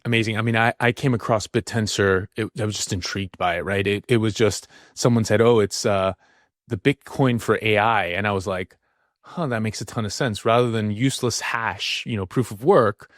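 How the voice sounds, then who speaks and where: A man's voice: fairly low pitch, fairly even intonation, brisk, dry recording, studio quality. Rambling enthusiastic male podcast host. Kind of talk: casual interview chat.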